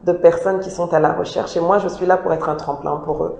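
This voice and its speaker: A woman's voice: fairly low pitch, steady tone, fairly quick, gravelly, darkish tone. A woman giving earnest, emphatic interview answers as the founder of a job agency, often repeating her points. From DR Congo. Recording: echoey room.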